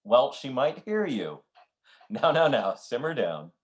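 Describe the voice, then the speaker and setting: A man's voice: medium pitch, sing-song, measured pace. A man playing a dry, cynical, teasing husband in an old-time radio comedy, with a wry, grumbling delivery. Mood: flustered.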